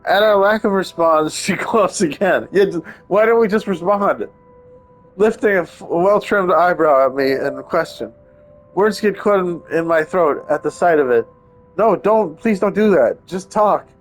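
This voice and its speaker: A man's voice: high voice, a little slow. A man doing casual let's-play commentary and reading narration aloud, with wry, sarcastic asides. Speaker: game streamer playing a visual novel. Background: game background music.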